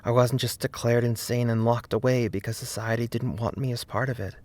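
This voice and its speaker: A man narrating a scripted video essay in an earnest, deliberate way, with emphasis on key words. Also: mid-range voice, fairly even intonation, a little mellow, no room sound. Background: faint background music.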